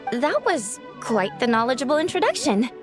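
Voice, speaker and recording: A woman voicing a gentle dream-eating yokai in the form of a young woman, polite and earnest in a video game. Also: sits high, big pitch swings, moderate pace, faint music behind.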